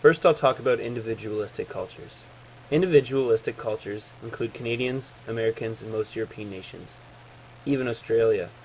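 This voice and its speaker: A man's voice: lowish voice, a little fast, a little hiss or hum, rough recording. A man reading out a prepared informational presentation in a formal, textbook-like way.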